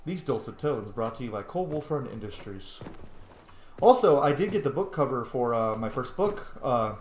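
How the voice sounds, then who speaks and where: A man's voice: medium pitch, lively intonation, normal speed. A man streams a video game in a mid-range voice. He comments casually and playfully, talking to the characters and swearing now and then. The sound is roomy and echoing, with faint noise behind him. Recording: roomy echo with background noise.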